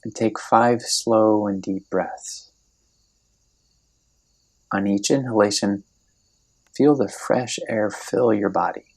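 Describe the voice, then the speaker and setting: Deep-voiced man guiding meditation, creaky tone. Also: fairly even intonation, poor audio. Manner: gentle, unhurried, soothing instruction with long pauses. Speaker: meditation guide. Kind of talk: guided meditation, scripted narration.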